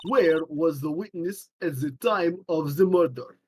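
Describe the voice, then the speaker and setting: A woman voicing a needling prosecutor in an amateur courtroom game fan dub, formal and measured. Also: low-pitched, darkish tone, soft background music. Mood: cool, challenging.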